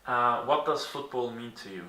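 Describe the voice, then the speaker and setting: A man's voice: mid-range voice, moderate pace, sharp tone, roomy sound, rough recording. A man interviewing a guest, asking polite questions with hesitant fillers.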